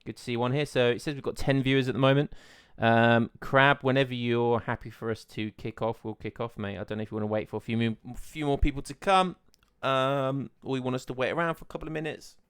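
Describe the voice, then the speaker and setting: A man's voice: mid-range voice, little pitch movement, fast talker, few pauses, fairly bright tone, clear, resonant tone, no room sound, studio quality. A man from England, casting a game match, chatting in an easygoing way and laughing often. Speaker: esports caster. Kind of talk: casual pre-show chat.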